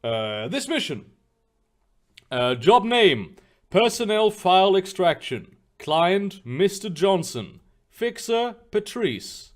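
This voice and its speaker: Male game master, lively pitch, rambling delivery, home voice chat. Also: takes it slowly. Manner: rambling, animated, reads mission briefs aloud. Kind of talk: running a tabletop game.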